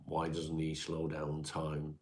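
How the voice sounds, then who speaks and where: Brief: low-pitched man, bunged-up nose, laid-back stream chatter reading out comments. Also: monotone, takes it slowly, darkish tone. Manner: chatty, answers viewer comments one by one, calls people mate. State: congested, stuffy nose. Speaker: livestream host reading chat. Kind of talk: casual livestream chat.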